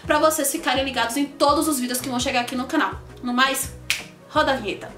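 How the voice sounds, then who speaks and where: A woman's voice: high-pitched, fast talker, reverberant, loud music behind the voice. A woman, chatting to viewers about her life as a channel host. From Salvador, Bahia, Brazil. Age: 20s. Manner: chatty, animated, addresses viewers directly. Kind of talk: casual personal storytelling to camera.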